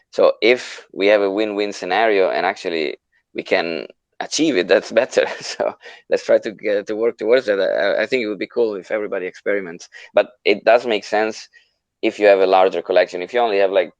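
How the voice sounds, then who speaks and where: Guy hosting an online audio chat, deep voice, dry close sound, encouraging and easygoing group talk. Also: no echo. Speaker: host moderating an online audio panel. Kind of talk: casual group discussion. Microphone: online audio chat, close mic.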